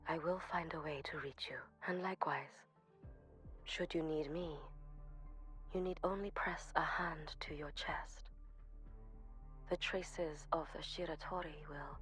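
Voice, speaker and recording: An actress voicing a solemn, composed young shrine maiden in a video game, with formal, measured, grave diction. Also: female voice, low voice, fairly bright tone. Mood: quietly reassuring. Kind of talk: scripted video game voice acting. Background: background music.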